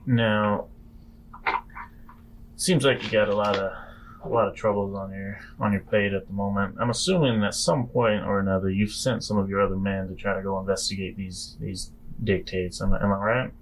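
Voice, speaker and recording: A man's voice: on the low side, fairly even intonation, normal speed, slight background noise, low-quality recording. A man playing a tabletop game, asking questions in character in a conversational, tentative way and circling back over his phrases.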